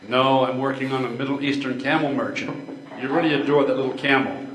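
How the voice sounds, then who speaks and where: A man's voice: mid-range voice, fairly even intonation, brisk, natural voice. Casting: older male amateur as playful, affectionate young stage actor, echoey room with background noise. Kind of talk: readers theater stage play.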